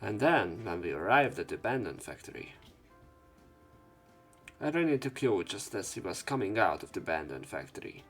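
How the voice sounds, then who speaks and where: A man's voice: lowish voice, very animated, normal speed, a bit bright, husky, faint music behind. A young man reading game text aloud, with casual, profane asides as a let's play narrator.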